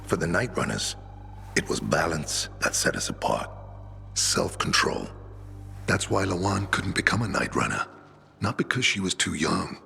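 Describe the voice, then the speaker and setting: A very low-pitched, weathered older man, the grave veteran leader of a band of survivors in a video game. His voice crackles with gravel yet has a forceful edge, and he speaks with deliberate, reflective weight over faint music. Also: animated, full character voice. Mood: earnest, solemn. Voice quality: gravelly, rough. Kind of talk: video game cutscene acting.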